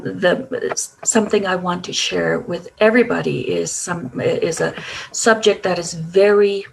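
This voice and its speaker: A woman guest speaker on a video call telling a personal story, hesitant with many pauses and restarts. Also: fairly low pitch, gravelly, slight background noise, low-quality recording. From Japan.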